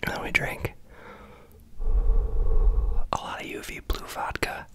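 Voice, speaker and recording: An ASMR host whispering close to the mic in a soft, meandering chat full of asides. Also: a little slow. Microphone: close microphone.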